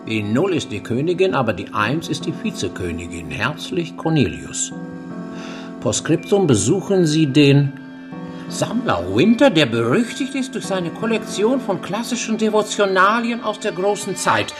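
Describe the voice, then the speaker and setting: An actor in a radio play playing an imperious, eccentric English knight who collects art and speaks in a pompous, commanding way. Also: male voice, a little high, big pitch swings, a little slow, over music. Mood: musing, pompous. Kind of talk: German radio play acting.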